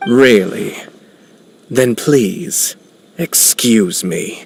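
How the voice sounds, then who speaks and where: An actor playing a calm, courteous, quietly commanding young nobleman swordsman in an anime-style video game, with music behind him. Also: on the low side, gravelly, heavily acted. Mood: firm, courteous. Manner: polite, composed, measured.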